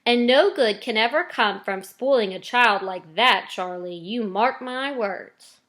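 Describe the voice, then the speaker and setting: A woman's voice: on the high side, unhurried, fairly bright tone. A woman voicing kindly but disapproving old grandparents in a storybook, firm and admonishing.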